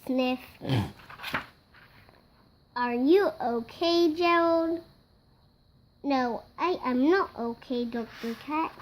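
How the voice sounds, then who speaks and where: A five-year-old child reads a picture book aloud, acting out the parts. The voice is high and the delivery is very slow and halting, word by word. There is some noise behind the recording. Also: darkish tone. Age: child, five years old. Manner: slow, halting word-by-word reading with acted-out sneezes.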